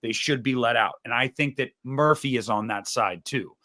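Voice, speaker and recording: A man's voice: medium pitch, moderate pace, bright, forceful tone. A man on a podcast panel giving blunt, emphatic opinions in casual debate, acting out imagined quotes as he argues.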